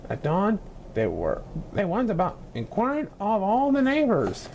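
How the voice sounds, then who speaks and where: A man reading a children's storybook expressively, with dramatic, deliberate phrasing. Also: sits high, wildly swooping pitch, darkish tone, slight background noise. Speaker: story reader.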